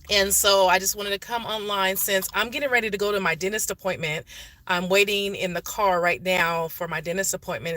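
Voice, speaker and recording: A woman's voice: lowish voice, sharp tone. A woman giving a casual, explanatory impromptu livestream chat, speaking directly to viewers on a phone in a parked car. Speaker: vlogger answering questions on a livestream. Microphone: phone, handheld or propped nearby.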